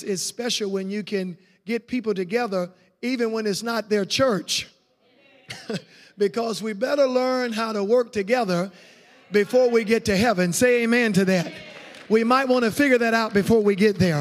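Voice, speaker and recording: A man with a raspy voice preaching a revival sermon in a church, exuberant and full of hallelujahs. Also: high voice. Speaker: guest evangelist. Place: church sanctuary. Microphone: handheld mic. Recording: echoey church hall.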